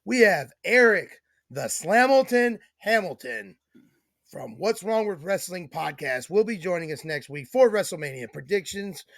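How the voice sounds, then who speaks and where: A man's voice: high-pitched, expressive, moderate pace, fairly bright tone, no echo, clean studio sound. A man hosting a wrestling podcast in laid-back, slangy casual chat. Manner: laid-back, loose, slangy, lots of fillers. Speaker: podcast host.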